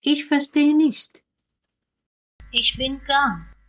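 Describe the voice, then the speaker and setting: A woman language teacher reading short phrases aloud slowly and clearly, saying each one twice for learners to repeat. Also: sits high, a bit bright.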